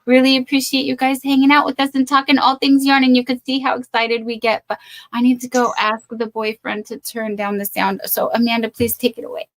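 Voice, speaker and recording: A woman's voice: a little high, level tone, brisk. A woman hosting a casual crochet live stream from the United States, bubbly and chatty, thanking viewers by name.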